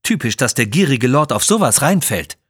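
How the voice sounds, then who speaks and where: A man voices a sharp-minded lady adventurer in an audio storybook. She is skeptical and observant, with animated intonation and a husky edge. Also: medium pitch, normal speed, dry recording, clean studio sound.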